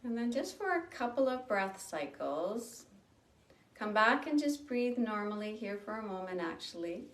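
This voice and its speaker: A woman leading a guided breathing meditation, speaking slowly and gently with long pauses. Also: medium pitch, sing-song, far from the mic. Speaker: meditation teacher. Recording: roomy sound from off the mic.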